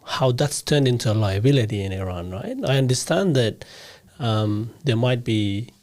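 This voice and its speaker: A man's voice: mid-range voice, normal speed, a little mellow. A man hosting a podcast, speaking earnestly in a loose, conversational way and often checking for agreement. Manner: earnest, many fillers and repeats, asks for agreement. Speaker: podcast host. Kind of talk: casual interview conversation.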